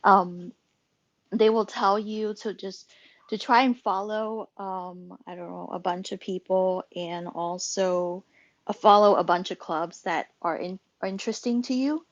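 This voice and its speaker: A woman hosts a relaxed social audio room and talks in a meandering way, full of pauses and restarts. Her pitch sits in a middle range. The sound is dry and slightly dull. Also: dry recording. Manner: rambling, many fillers and restarts. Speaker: host and club moderator. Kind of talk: casual social audio chat.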